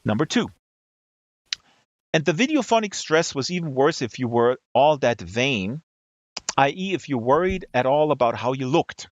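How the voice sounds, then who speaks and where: A man reading a satirical novel aloud with deliberate, emphatic phrasing, in a dull lo-fi recording. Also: medium pitch, very animated, dry recording. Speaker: reader of literary excerpts.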